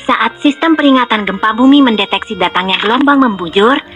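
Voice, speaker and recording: A woman voicing a cheerful young panda tour guide in a children's educational game, speaking clearly and instructively. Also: on the high side, animated, brisk, a bit bright, highs cut off. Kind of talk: scripted educational narration for children. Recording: music behind the voice.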